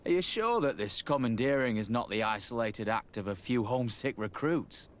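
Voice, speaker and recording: A man's voice: medium pitch, animated, moderate pace, slight background noise, natural voice. A man playing a polite, deferential army lieutenant in a video game, formal and apologetic. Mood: politely apologetic. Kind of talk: video game cutscene acting.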